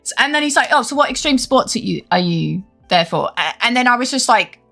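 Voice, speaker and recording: A young woman streams a game and chats away in a high, bright voice that leaps all over the place. She swears freely and laughs often, with soft game music underneath. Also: a little fast, gravelly. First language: English. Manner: animated, swearing, frequent laughter. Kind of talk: casual chat while gaming.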